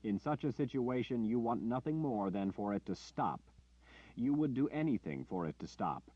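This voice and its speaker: A man voicing a commanding male warrior leader who speaks forcefully and emphatically. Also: mid-range voice, big pitch swings, moderate pace, no room sound, pitched above the performer's own voice. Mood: urgent. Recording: dull dry lo-fi audio.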